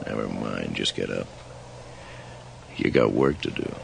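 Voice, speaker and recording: A very deep, gravelly sinister conductor. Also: male voice, expressive, unhurried, heavily acted. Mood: gruff. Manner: folksy, menacing, taunting, drawling. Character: sinister old train conductor, mocking supernatural collector of souls. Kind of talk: radio horror drama acting. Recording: slightly noisy 1980s radio recording.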